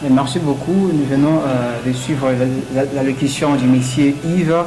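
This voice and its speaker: A man with a Congolese French accent moderating a debate, summing up and handing over in a halting, stumbling way. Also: medium pitch. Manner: halting, stumbles and repeats words. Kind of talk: spoken recap and handover in a debate. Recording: echoey room with background noise.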